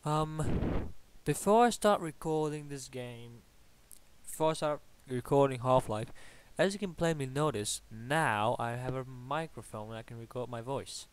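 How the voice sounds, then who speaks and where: A man's voice: medium pitch, unhurried, clean tone. A young man from Bulgaria with a Bulgarian accent, casually commentating his game at home, rambling and swearing playfully at enemies. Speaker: gamer commentating his play. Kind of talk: casual let's-play commentary. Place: at home at a computer. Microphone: awkwardly placed desktop mic.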